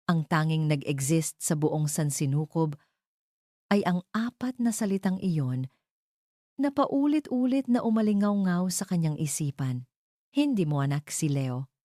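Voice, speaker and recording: A woman narrating a scripted love story in a deliberate, melodramatic way. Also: low-pitched, warm tone, dry recording, clean studio sound.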